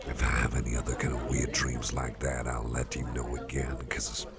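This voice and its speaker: A man playing a friendly, rambling boss in an ASMR roleplay, chatting personally to the listener in a deep, gravelly voice. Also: level tone. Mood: confiding. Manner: rambling, chummy, halting, repeats phrases. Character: friendly, rambling male boss at a Halloween party. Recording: music playing behind the voice.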